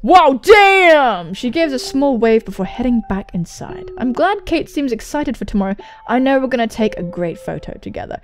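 A young woman hosting a let's play, reading a visual novel aloud and commenting on it with excitable, sweary exclamations. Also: fairly high pitch, normal speed, hardly any pauses. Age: young adult. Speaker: gaming video host. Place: indoor room at home, on webcam. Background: game soundtrack music.

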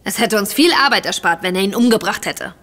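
An actress in a German audio drama playing a cunning, unrepentant female criminal with a sharp, scornful manner. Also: mid-range voice, animated, a little fast. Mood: cold, defiant.

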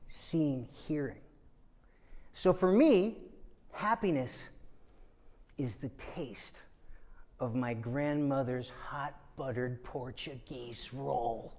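Energetic man giving a motivational speech. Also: medium pitch, very slow, pauses often. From New England. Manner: animated, emphatic, pacing the stage. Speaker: keynote speaker. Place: large auditorium stage.

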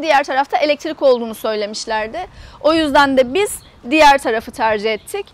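A woman in her thirties giving a chatty, casual guided tour to camera outdoors, explaining things as a travel vlogger. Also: high-pitched. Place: outdoors in an open camper park lot.

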